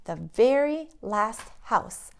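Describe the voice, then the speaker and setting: A woman's voice: a little high, lively intonation, slow, vocal fry, exaggerated voice. A woman voicing a kindly, jolly old Father Christmas in a storybook, with a hearty manner.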